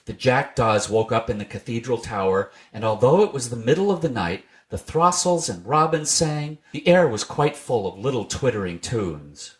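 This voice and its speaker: A man reads a children's tale aloud as a storybook narrator. His pitch sits in the middle and moves widely, giving the scripted reading an animated, expressive shape. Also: moderate pace, few pauses. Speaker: storybook reader.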